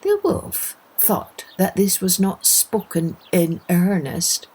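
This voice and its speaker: A woman's voice: fairly low pitch, sing-song, slow pace, dark tone, husky. A woman narrating a scripted children's fairytale expressively, as the host of a storytelling podcast. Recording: music under the voice.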